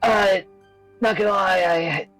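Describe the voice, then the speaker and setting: A guest on a remote voice call, chatting casually in a hesitant, low-key way. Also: a little slow, fairly bright tone, soft background music. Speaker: guest co-player. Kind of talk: casual chat.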